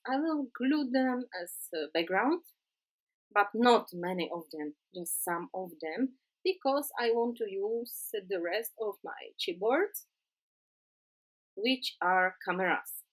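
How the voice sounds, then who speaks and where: A woman with a Czech accent, a non-native English speaker, narrating a card-making tutorial in a halting way with many pauses. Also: medium pitch, animated, poor audio. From Czech Republic. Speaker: crafting tutorial host.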